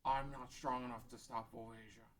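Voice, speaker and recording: Gentle, self-doubting rock-creature chief played by a man. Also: mid-range voice, flat delivery, normal speed, slight background noise, natural voice. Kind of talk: fan table read of a fantasy script.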